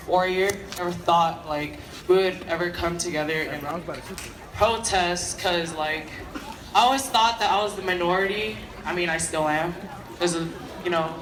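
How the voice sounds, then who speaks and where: A hoarse teenage boy who has lost his voice, giving a casual, impassioned speech at a school protest. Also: high-pitched, slight background noise. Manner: casual, lots of "like", builds to shouting. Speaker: student speaker at a protest. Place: outdoor school protest crowd. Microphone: phone camera in the crowd. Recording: far-off phone recording of an echoey crowd.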